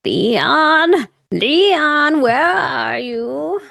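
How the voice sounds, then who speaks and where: A woman's voice: high voice, expressive, very slow, vocal fry, a bit bright, dry recording, clean studio sound, pitched above the performer's own voice, heavily acted. A woman playing a tiny, hunched old homeless woman with a blunt, teasing, demanding manner in a tabletop roleplay game.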